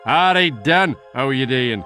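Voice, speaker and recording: An actor playing a chummy, blunt fantasy game character with a Geordie accent and a matey, teasing manner. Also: male voice, mid-range voice, expressive, moderate pace, pushed and bright, soft background music. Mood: matey concern. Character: chummy, blunt, fussing male sword-giver in fantasy game. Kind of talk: video game cutscene acting.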